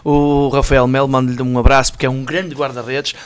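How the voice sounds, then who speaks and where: A man's voice: medium pitch, little pitch movement, a little fast, clear, resonant tone. Man, mid voice, friendly storytelling pundit. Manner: friendly, anecdotal. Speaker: panel commentator. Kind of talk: radio sports panel chat.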